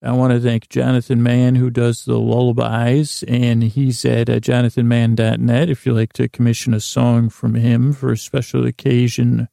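A man hosting a sleep podcast in a rambling, halting bedtime monologue, losing his thread and restarting in a gravelly voice. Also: mid-range voice, warm tone, no echo, clean studio sound. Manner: meandering, halting, self-interrupting, absent-minded.

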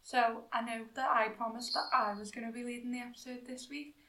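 A woman's voice: on the high side, little pitch movement, a little fast, pushed and bright. Woman podcaster in an echoey room. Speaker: podcast co-host. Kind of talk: casual chat.

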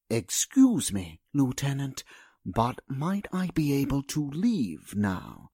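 An actor playing a meek, formal and very polite household butler in a 1940s style radio drama. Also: male voice, medium pitch, wildly swooping pitch, slow pace, dark tone, breathy edge, dry recording, studio quality, full character voice. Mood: meek, pleading. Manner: servile, polite, hesitant.

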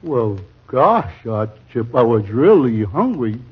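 An actor playing a dim-witted, naive American man with artless, slow-witted delivery in a 1940s radio comedy. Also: mid-range voice, very animated, slightly rough, exaggerated voice. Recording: muffled 1940s radio broadcast recording.

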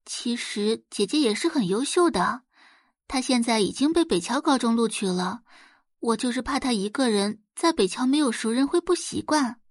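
A woman voicing a falsely innocent teenage schoolgirl in an audio drama, soft, gentle and coyly pleading. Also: a little high, expressive, moderate pace, no echo, studio quality. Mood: gently pleading. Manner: sighing, coy, pleading.

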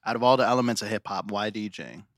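A man's voice: fairly low pitch, racing, no echo, clean studio sound. A man co-hosting a podcast who introduces the guests and asks about their start, with upbeat interjections. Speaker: podcast co-host.

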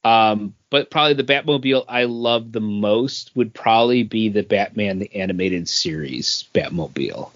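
A man's voice: fairly low pitch, normal speed, few pauses, fairly bright tone, no room sound, lo-fi sound. A man co-hosting a podcast, talking casually about pop culture, hesitant and often circling back over his phrases. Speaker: podcast co-host.

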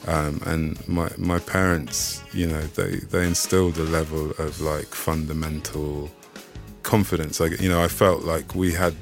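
A very low-voiced man, guest on a casual interview, thinking aloud as he talks. He grew up in Austria and reaches back for his memories with halting, restarting phrases. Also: little pitch movement, creaky, music in the background. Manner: reflective, halting, many restarts.

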